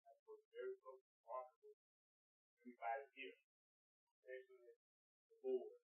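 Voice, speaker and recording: A man's voice: mid-range voice, wildly swooping pitch, normal speed. A man giving a brief public self-introduction as a committee member, picked up faintly from a distance. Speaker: committee member, financial advisor. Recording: dull, echoey, faint distant pickup.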